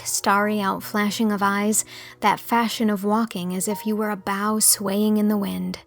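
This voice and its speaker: A woman reading scripted storybook narration as the narrator of an audiobook. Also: medium pitch.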